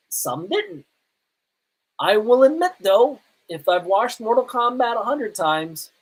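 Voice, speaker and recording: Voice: medium pitch, rough recording. A podcast host talking casually through a movie review as an opinionated, self-confessed fan.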